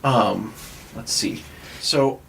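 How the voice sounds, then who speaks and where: A man's voice: mid-range voice, very slow, creaky, fairly bright tone, a little hiss or hum, poor audio. A man with an American accent hosting a podcast in casual, rambling chat peppered with "like". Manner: informal, rambling, filler-heavy with "like". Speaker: podcast host.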